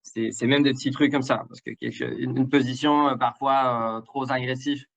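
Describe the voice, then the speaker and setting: A man's voice: medium pitch, fairly even intonation, moderate pace, creaky, fairly bright tone, highs cut off. A man from Mexico with a Mexican accent mixed with Québécois, native Spanish speaker, guest in a live interview, talking hesitantly with many fillers and repeated words. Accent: Mexican with Québécois French. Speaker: guest, international development director.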